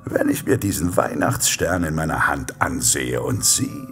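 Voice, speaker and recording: An actor playing a menacing, sadistic vigilante posing as Santa, taunting deliberately with mock friendliness in a horror radio play. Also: male voice, extremely low pitch, very animated, gravelly, a bit bright, slight background noise, exaggerated voice. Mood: threatening. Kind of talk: German horror audio drama acting.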